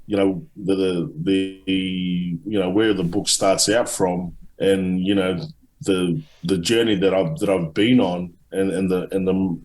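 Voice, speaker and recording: A deep-voiced middle-aged man speaks haltingly, circling back and restarting his sentences. His voice carries a noticeable room echo. He sounds like a guest giving long answers in a casual interview. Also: fairly even intonation, darkish tone. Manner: halting, many restarts and fillers. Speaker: author and guest.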